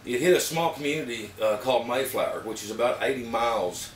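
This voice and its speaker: A man with an Arkansas Southern accent giving a casual, plain-spoken, halting product review to camera. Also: mid-range voice. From Arkansas, United States. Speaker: vlogger reviewing emergency gear. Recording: roomy, distant mic with background noise.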